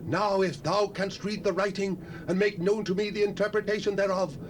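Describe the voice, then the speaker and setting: A man's voice: high-pitched, steady tone, normal speed, natural voice. An actor playing a proud but troubled king in a dramatized scripture recording, formal and commanding. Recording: cassette recording with faint hiss.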